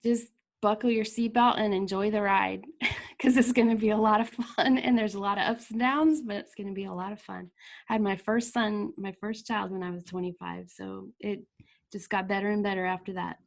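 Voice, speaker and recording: A woman's voice: medium pitch, fairly even intonation, normal speed, vocal fry. Chatty middle-aged woman, laughing often. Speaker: author and podcast guest. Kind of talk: casual interview chat.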